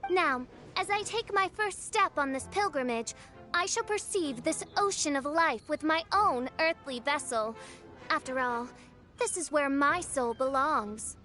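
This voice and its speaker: A woman's voice: sits high, animated, a little slow, pushed and bright, soft background music, full character voice. A woman voicing a grandiose teenage self-styled princess in an anime game, declaiming in pompous archaic phrases. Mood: lofty. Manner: pompous, archaic theatrical speech. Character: grandiose teenage girl playing a gothic princess.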